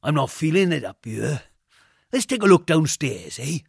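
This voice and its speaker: Casting: male reader as taunting British hard lad, husky, menacing, drops into whispers, storybook villain. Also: on the high side, big pitch swings, moderate pace, dry recording, studio quality, pitched above the performer's own voice, full character voice. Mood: brash, loud. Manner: sinister, teasing, lingering, often dropping to a whisper.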